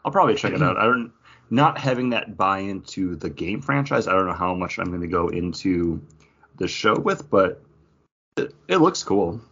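Guy podcast host, bit low and raspy, chatting casually, slightly muffled audio. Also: animated, moderate pace, fairly bright tone. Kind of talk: casual pop-culture chat.

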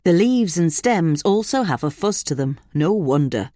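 A woman reading a scripted gardening article aloud in a measured, informative way. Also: fairly low pitch, animated, dark tone, dry recording, studio quality. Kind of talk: scripted narration, read aloud.

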